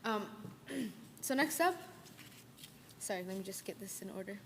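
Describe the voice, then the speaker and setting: A woman's voice: medium pitch, animated, a little slow, vocal fry. A woman emceeing an event casually, informal and slightly flustered. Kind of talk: casual unscripted announcing. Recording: noticeable background noise.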